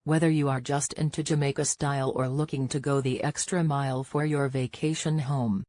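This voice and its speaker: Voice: mid-range voice, fairly even intonation, moderate pace, a little mellow, dry recording. A narrator delivers a scripted product advertisement. The recording is very dry, without any audible room around the voice.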